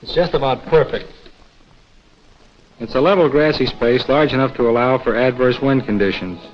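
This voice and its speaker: An American actor playing a confident military pilot in a 1940s training film, briefing in informal, slangy speech. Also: male voice, mid-range voice, normal speed, lightly acted. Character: confident, plain-talking male military pilot briefing an agent. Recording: dull 1940s film soundtrack, music behind.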